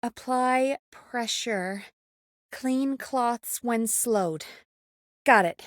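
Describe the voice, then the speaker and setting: A young female radio producer in a video game, with a high voice and a close, dry sound. She is earnest and capable but anxious, speaking naturally with small hesitant asides. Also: extremely slow, no echo. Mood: worried, focused.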